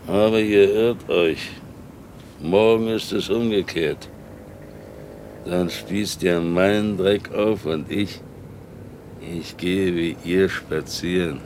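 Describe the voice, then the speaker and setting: A man's voice: sits low, slow pace, long pauses, darkish tone, slight background noise. A man playing a bitter, sly old street sweeper in a radio play, grumbling to himself in a brooding way. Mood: bitter, defiant. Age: older.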